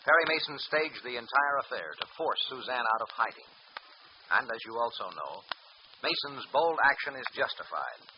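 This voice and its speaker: A man's voice: medium pitch, animated, moderate pace. A man narrating a mid-century radio serial as announcer, with dramatic scripted delivery. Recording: muffled, noisy old radio recording.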